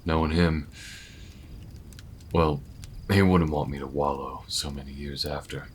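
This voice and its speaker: A man playing an easygoing, weathered alien bounty hunter in an intimate audio roleplay, talking in a folksy, unhurried way with murmured asides. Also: a bass voice, fairly even intonation, slight background noise. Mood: wistful, reflective.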